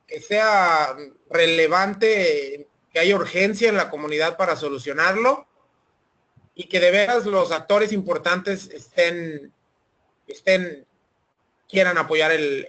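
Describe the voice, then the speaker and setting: High-voiced man explaining, many restarts. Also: slow, a bit bright. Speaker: webinar presenter. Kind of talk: online seminar Q&A.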